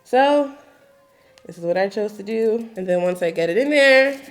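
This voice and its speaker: A woman in her twenties giving a casual, chatty hair tutorial, her voice a little raspy from just waking up, with lots of filler words. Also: medium pitch, normal speed, slight background noise. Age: 20s. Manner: relaxed, chatty, lots of filler likes and ums. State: slightly raspy morning voice.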